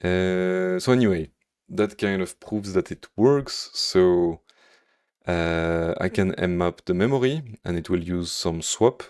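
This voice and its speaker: Slow, low-voiced Belgian French man. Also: darkish tone. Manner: thinks aloud with many fillers, hums to himself while working. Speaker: programmer streaming live. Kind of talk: live coding stream, chatting with viewers.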